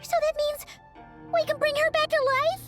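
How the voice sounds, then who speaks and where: Tiny squeaky fairy companion. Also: female voice, little pitch movement, moderate pace, over loud music. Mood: curious. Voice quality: squeaky, childlike. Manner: eager, naive, exclamatory. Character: tiny excitable childlike female fairy companion. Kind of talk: scripted video game dialogue.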